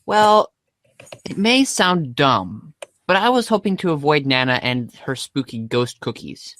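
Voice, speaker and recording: A man's voice: on the high side, sing-song, unhurried, a bit bright, dry recording, pitched above the performer's own voice. A man voicing an anxious, earnest teenage boy gamer who exclaims and whines in a comedic readers' theater. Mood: nervous, pleading.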